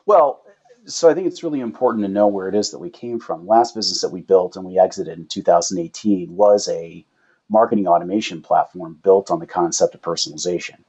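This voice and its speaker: Male founder as interview guest, low slightly rough voice, explanatory, checks in with rhetorical questions, muffled lo-fi sound. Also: a little fast, mellow. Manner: uses rhetorical questions and tag "right?". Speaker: startup founder and chief executive, guest.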